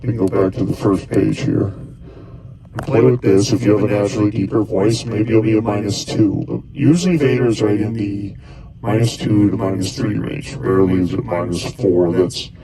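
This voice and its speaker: Gravelly low-voiced man walking through settings. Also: normal speed, crowd noise, rough recording. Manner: talks while pressing buttons, thinking aloud, loose restarts. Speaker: costuming hobbyist giving a tutorial. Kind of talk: casual step-by-step how-to.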